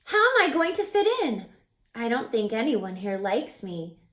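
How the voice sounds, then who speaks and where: A woman's voice: a little high, big pitch swings, a little slow, a bit bright, reverberant, a little hiss or hum. A woman voicing a shy, kind young female unicorn in a storybook, with earnest expression. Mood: anxious.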